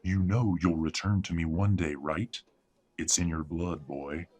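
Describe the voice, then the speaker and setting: Casting: man playing commanding older werewolf alpha, low gruff voice, slow menace, audio drama. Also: fairly even intonation, a little mellow. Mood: menacing, certain.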